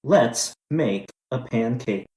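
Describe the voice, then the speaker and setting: A man's voice: mid-range voice, animated, takes it slowly, warm tone. An eager little boy, as a man reads him aloud. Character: eager young boy helping with breakfast. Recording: roomy room with background music.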